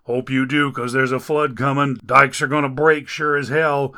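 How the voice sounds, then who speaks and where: A man voicing a griping, foul-mouthed male rescuer who grumbles loudly in folksy slang. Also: mid-range voice, flat delivery, normal speed.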